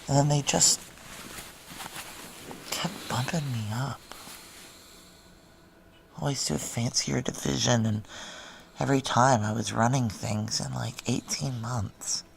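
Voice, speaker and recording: A woman's voice: rumbling low, fairly even intonation, slow pace, vocal fry, pauses often, a little hiss or hum, heavily acted, poor audio. An actress playing a weary, blunt career military woman in an audio drama, middle-aged, with an American accent and a wry, intimate way of talking. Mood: weary, resigned. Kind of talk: naturalistic noir audio drama dialogue.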